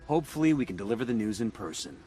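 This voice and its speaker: A man playing a steady, commanding special forces soldier in a video game, terse and controlled. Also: lowish voice, rapid-fire, over music, low-quality recording. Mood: cool authority. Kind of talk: scripted game dialogue.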